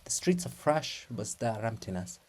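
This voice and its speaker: A man narrating stage directions for a dramatic play reading in a measured, theatrical manner. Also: fairly low pitch, very animated, darkish tone.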